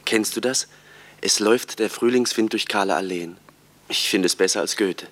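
Earnest, low-voiced smitten youth played by a man. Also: normal speed, natural voice. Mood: eager, earnest. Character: earnest, smitten young brother-in-law writing a letter. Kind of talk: radio drama letter reading.

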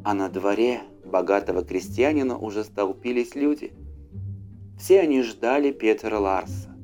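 A man narrating a fairy tale as an audiobook, with an expressive, dramatic storyteller's delivery. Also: on the low side, moderate pace. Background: faint music.

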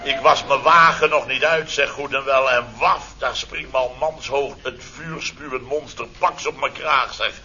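An actor playing an exasperated, grumbling company boss in a Dutch radio comedy serial from around 1970. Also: male voice, medium pitch, fairly even intonation, moderate pace, hardly any pauses, pushed and bright. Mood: mocking indignation. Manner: sarcastic, grumbling, exclamatory. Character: blustering, easily exasperated boss of a building firm. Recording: dull, hissy old radio recording.

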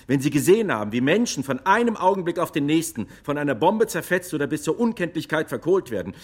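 An actor playing a retired, war-weary army officer who testifies gravely and emphatically in a courtroom radio drama. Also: male voice, fairly high pitch, big pitch swings, quick pace. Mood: intense, insistent.

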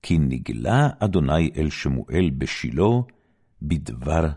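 Deep-voiced man reading scripture expressively. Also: animated, a little mellow, husky. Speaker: audiobook narrator reading scripture. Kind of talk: dramatic scripted Bible reading.

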